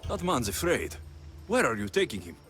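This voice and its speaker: An actor playing a bold ancient Greek mercenary hero in a video game, speaking in a direct, probing and firm way. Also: male voice, mid-range voice, big pitch swings, normal speed, fairly bright tone. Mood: firm, challenging. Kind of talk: dramatic video game acting. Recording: game cutscene with music behind.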